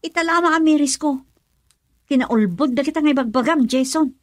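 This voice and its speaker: A high, dry-recorded young woman's voice played by an actress in a radio drama. She is emotional and speaks with an Ilocano Filipino accent. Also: a little fast, no room sound, close to an everyday voice. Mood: hurt, reproachful. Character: young woman, emotional niece and sweetheart Linlin.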